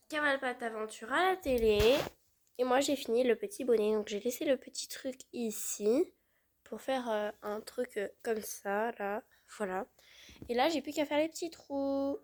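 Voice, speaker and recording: A woman with a cold chatting hesitantly from her living-room sofa while doing a craft project. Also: fairly high pitch. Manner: chatty, hesitant with many fillers. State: has a cold. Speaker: vlogger. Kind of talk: casual crafting vlog.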